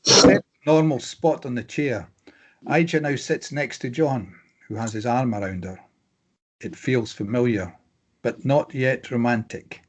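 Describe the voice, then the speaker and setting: A man narrates a drama script as the reader of stage directions. His voice is of middling pitch, and he delivers the scene headings and actions as scripted narration. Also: a little slow, slightly rough, rough recording.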